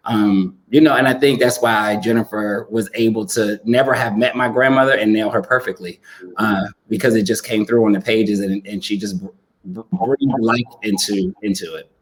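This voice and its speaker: A man's voice: lowish voice, low-quality recording. A man speaking as an author in a conversational panel discussion, reflective and earnest.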